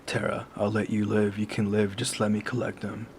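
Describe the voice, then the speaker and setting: A man's voice: lowish voice, monotone, moderate pace, slight background noise, lightly acted. A man voicing a male killer who taunts in a falsely soothing, coaxing way. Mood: taunting.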